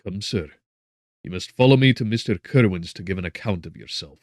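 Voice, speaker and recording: Man acting a stern, blunt townsman, pitched up. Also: a little fast, a little mellow, dry recording, clean studio sound, close to an everyday voice. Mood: stern, gruff. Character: stern, ill-looking townsman, gruff and blunt. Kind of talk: audiobook character acting.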